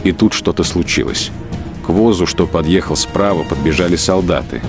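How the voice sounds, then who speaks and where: A man narrating a literary radio drama in a measured, grave storytelling manner. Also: low voice. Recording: music bed behind the voice.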